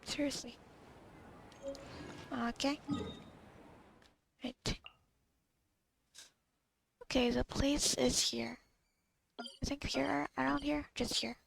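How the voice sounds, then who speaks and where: Female gamer, high and creaky, casual murmured commentary to herself over game music. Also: little pitch movement, extremely slow, long pauses, a little hiss or hum. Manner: murmured remarks, half talking to herself. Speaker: gamer recording a playthrough. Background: video game music.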